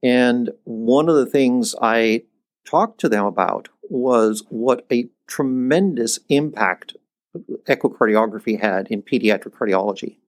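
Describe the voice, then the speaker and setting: Older man explaining with rising emphasis. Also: a little slow. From United States, born in Iceland. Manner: thoughtful, restarts often, rises in pitch when making a point. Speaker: cardiologist guest. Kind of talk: conversational interview.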